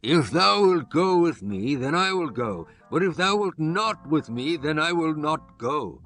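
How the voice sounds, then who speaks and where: A man playing a hesitant Israelite army commander in dramatized scripture, speaking in a deliberate, declamatory way. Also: a little high, expressive, fairly bright tone, faint music behind, voice pushed up. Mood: hesitant, insistent.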